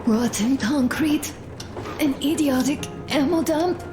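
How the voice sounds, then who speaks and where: Ailing young wife in a game. Very slow, weak, intimate, music underneath. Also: female voice, high voice. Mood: resigned, tender. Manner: soft, halting, intimate. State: weak, sick. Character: gravely ill young wife, wistful and tender. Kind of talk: video game voice acting.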